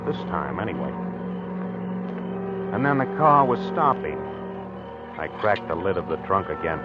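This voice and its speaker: An actor playing a haunted, obsessive husband in a halting, confessional 1940s radio drama style. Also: male voice, sits low, big pitch swings, loud music behind the voice. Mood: brooding, haunted. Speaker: actor narrating in character. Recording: muffled old 1940s radio recording.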